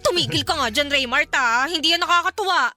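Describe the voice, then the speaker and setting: A woman's voice: sits high, brisk, pushed and bright, faint music behind. An actress with a Filipino accent playing a jumpy, irritable young woman in a radio drama. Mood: startled, wary.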